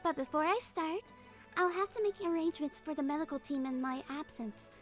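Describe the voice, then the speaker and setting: A tiny, girlish game nurse acted by a woman speaks in an extremely high voice. She is gentle, earnest and polite, choosing her words in a measured way over background music. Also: close to an everyday voice. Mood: pleased, polite. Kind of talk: game fan dub dialogue. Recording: music behind voice.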